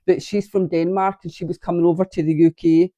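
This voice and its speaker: A woman's voice: lowish voice, level tone, a little fast, warm tone, no room sound, muffled recording. A woman chatting casually to camera at home about her knitting, a bit congested from sinus trouble, rambling and breaking off mid-sentence. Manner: chatty, rambling, self-interrupting. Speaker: knitting vlogger. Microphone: camera on a tripod.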